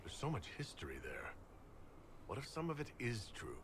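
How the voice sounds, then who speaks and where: A man playing a calm, reasonable hero in a video game, measured and reassuring. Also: medium pitch. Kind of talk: video game character dialogue.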